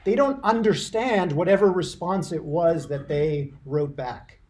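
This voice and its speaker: A man with a notably high voice for a male speaker gives a university lecture. He explains things deliberately, repeats points for emphasis and puts questions to his class. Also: a little mellow.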